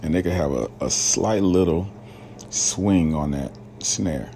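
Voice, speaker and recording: A man with an extremely deep, low voice hosts a solo podcast and talks in a loose, rambling way, full of fillers and slang. He grew up in rural Alabama. A faint hiss of noise sits under the recording. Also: slow, mellow. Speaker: podcast host. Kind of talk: casual solo podcast talk.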